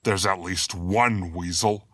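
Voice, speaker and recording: A man's voice: sits low, steady tone, slow pace, a bit bright, no echo, exaggerated voice. A man voicing a stubborn, hungry and vengeful predator animal in a dramatic comic dub. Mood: menacing. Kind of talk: dramatic comic fandub acting.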